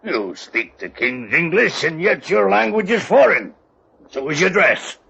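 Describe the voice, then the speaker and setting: An actor playing a gruff, commanding innkeeper of colonial times in an old-time radio drama, with gravelly, husky voice and archaic phrasing. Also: male voice, fairly high pitch, very animated, unhurried, sharp tone, heavily acted. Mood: suspicious, brusque. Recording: dull old radio recording.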